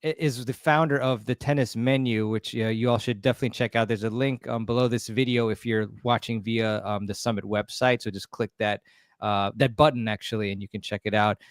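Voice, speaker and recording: A man's voice: lowish voice, fairly even intonation, fairly quick, no echo, studio quality. A man hosting an online interview, upbeat and friendly, with lots of fillers. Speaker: podcast host.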